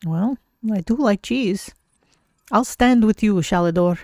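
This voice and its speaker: A streamer voicing an easygoing cat-folk adventurer in a fantasy game, measured and wry, with a creaky voice. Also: mid-range voice, no room sound, studio quality, lightly acted. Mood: curious, willing. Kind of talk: in-character game stream roleplay.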